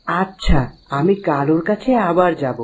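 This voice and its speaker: A woman's voice: fairly low pitch, moderate pace, slight background noise, natural voice. A woman voicing a curious, friendly young village boy in a children's cartoon, speaking earnestly. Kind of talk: children's cartoon story dialogue.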